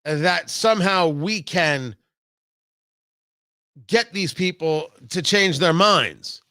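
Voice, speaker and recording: A man's voice: sits high, slow, bright, forceful tone, no echo, clean studio sound. An American man delivering an opinionated political monologue as a radio host, emphatic and theatrical, repeating phrases for effect. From New York and New Jersey, United States.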